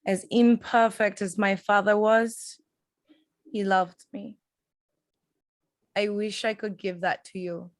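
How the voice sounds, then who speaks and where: A woman playing a gentle, sincere young immigrant rat-catcher in an amateur table read, with a wistful delivery. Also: mid-range voice, little pitch movement, slow, pauses often, dry recording. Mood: tender.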